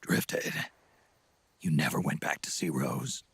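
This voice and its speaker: An actor playing a gruff, weary aging soldier in a video game, terse and wry with a gravelly, husky voice. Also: male voice, extremely low pitch, very animated, takes it slowly, dry recording, full character voice. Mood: wry skepticism. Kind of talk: dramatic video game acting.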